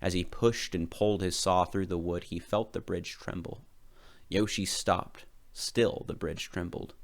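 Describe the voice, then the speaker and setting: A man reading a storybook aloud with expressive, dramatic narration. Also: deep voice, a little slow. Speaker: storybook reader.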